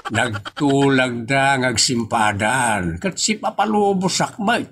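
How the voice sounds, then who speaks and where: A man's voice: medium pitch, expressive, takes it slowly, heavy background noise. A man with an Ilocos accent from the northern Philippines playing a grumbling, teasing older father in a comedy audio drama. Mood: combative.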